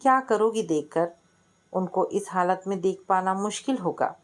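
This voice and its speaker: A woman's voice: mid-range voice, normal speed, lightly acted. A woman narrator plays a young male student from a family storybook. He is blunt and loyal, speaking firmly and matter-of-factly. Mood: earnest.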